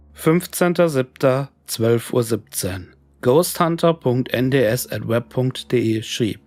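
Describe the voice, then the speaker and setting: A man narrates a scripted horror story in pronunciation. His mid-pitched voice carries a clear gravelly creak. Music plays underneath the reading. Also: a little mellow, no room sound.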